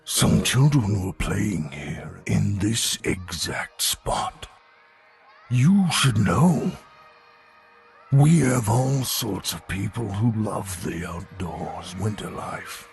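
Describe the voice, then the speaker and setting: A man voicing a gigantic, gentle abominable snowman in an audio storybook, solemn and gravelly, with a halting, drawn-out delivery. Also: on the low side, expressive, over music, exaggerated voice. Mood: reminiscing. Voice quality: gravelly, creaky.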